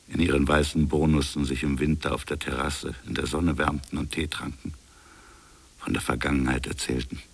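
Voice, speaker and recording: An actor playing a reflective, defiant colonial official in a literary radio drama, speaking in a measured, deliberate way. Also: male voice, very deep voice, creaky, breathy edge, full character voice. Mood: brooding, solemn. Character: defiant, reflective colonial official defending his invented fable.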